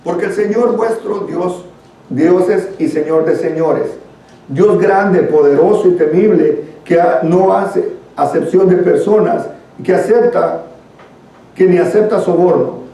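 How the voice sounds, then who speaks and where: A man's voice: high-pitched, a little slow, gravelly, warm tone. A man with a Guatemalan accent leading a church Bible study, reading and explaining scripture in an earnest, conversational teaching manner. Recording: very echoey room, distant microphone.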